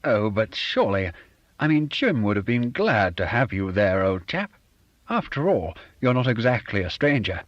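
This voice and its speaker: Brief: narrator doing a curt, patronising chap with a fake posh lilt, pitched up and loud, dull dry recording. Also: male voice, very animated, normal speed, no room sound. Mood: bluff, patronising. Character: curt man with a fake genteel accent. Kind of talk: audiobook character voice.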